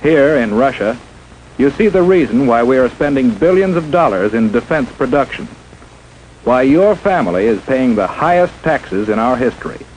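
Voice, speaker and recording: A man's voice: mid-range voice, expressive, moderate pace, darkish tone, slight background noise, poor audio. An American man narrating a 1950s instructional film in an emphatic, dramatic, scripted manner, on an old film soundtrack. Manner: emphatic, dramatic, rhetorical questioning.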